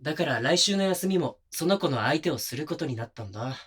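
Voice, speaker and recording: A man acting as a considerate but oblivious young boyfriend in an intimate audio drama, talking casually and softly with pauses. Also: a little high, expressive, slightly rough. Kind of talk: intimate situation-voice audio drama, naturalistic one-sided dialogue.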